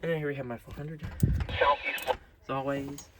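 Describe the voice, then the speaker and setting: A man's voice: medium pitch, fairly even intonation, measured pace, background noise. An American man talking casually and unscripted as he shows off a collection, rambling and hesitant, often correcting himself. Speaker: radio collector showing off collection. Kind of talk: casual, unscripted show-and-tell. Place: indoors at home.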